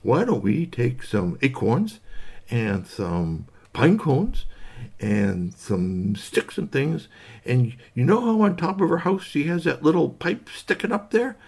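Man putting on a hefty slow squirrel, drawling, scheming, husky edge, storybook villain. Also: mid-range voice, sing-song, a little mellow, heavily acted. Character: big heavy slow mean male squirrel.

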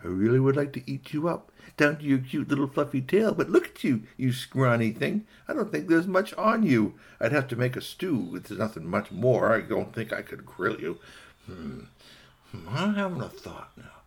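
A man voicing a sly, hungry fox villain in a folktale, musing and gloating with drawn-out hums. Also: mid-range voice, very animated, gravelly, slightly rough, pitched above the performer's own voice, exaggerated voice. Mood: scheming. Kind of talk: animated oral folktale storytelling.

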